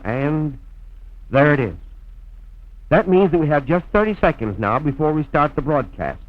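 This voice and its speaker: Dull old film recording, male announcer. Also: medium pitch. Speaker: narrator and radio announcer. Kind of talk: formal scripted documentary narration, mid-century radio manner.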